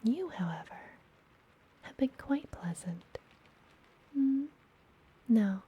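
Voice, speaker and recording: A woman playing a friendly, mysterious, teasing witch healer in an ASMR fantasy roleplay, speaking gently and soothingly in a soft, breathy whisper. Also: medium pitch, low-quality recording. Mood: fond, wistful. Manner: slow, gentle, soothing, deliberate.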